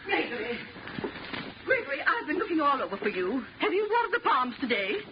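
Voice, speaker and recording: A woman's voice: high-pitched, sing-song, brisk. A woman playing a cold, haughty, nagging society mother in a 1950s radio drama, with an imperious, fussy manner. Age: middle-aged or older. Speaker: supporting actor. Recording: muffled old radio recording, faint hiss.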